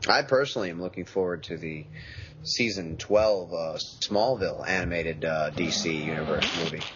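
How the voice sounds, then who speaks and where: A man's voice: low-pitched, moderate pace, background noise. A man co-hosting a podcast with dry, self-mocking humor. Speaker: podcast co-host. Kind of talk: casual chat. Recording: slightly muffled podcast audio.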